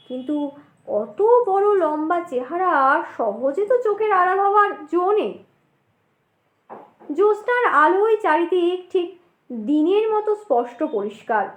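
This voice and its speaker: Woman storyteller, horror narration, animated intonation, unhurried, theatrical, roomy echo. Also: extremely high pitch.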